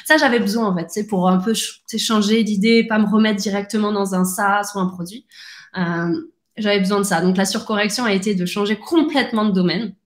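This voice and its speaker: A woman talking as a podcast guest, speaking in a lively, casual way with many restarts and fillers. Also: medium pitch, a little fast, echoey room. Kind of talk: casual conversational interview.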